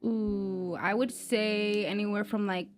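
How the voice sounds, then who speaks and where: A woman's voice: mid-range voice, fairly even intonation, slow. Chatty woman guest, filler-heavy talk. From Mexican-American, grew up in the US. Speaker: guest, women's cycling team director. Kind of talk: casual podcast chat.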